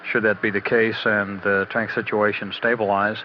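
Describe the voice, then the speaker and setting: A man giving a halting, matter-of-fact technical status briefing as a spaceflight mission commentator, on old radio audio. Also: on the low side, little pitch movement. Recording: dull, noisy 1980s mission radio audio.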